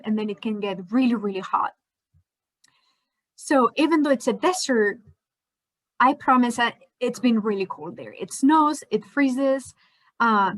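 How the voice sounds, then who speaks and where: A woman's voice: on the high side, low-quality recording. A woman in her twenties whose first language is Spanish, narrating an educational slideshow as a PhD student, in a friendly manner with frequent fillers. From Spain.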